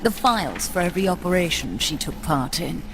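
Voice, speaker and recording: Over clear background music comes a cold, obsessive female scientist. Her voice sits somewhat low, and she speaks with imperious, theatrical deliberation and bitterness, as in a dramatic game cutscene. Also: very animated, fairly quick. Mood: intense, lecturing. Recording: cinematic music underneath.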